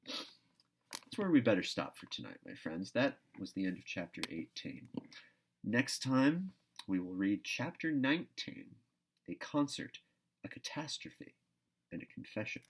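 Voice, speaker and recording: A man's voice: lowish voice, very animated, measured pace, pauses often, husky. A man hosting a read-aloud, narrating a classic novel and commenting casually between readings. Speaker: host and reader of a read-aloud series.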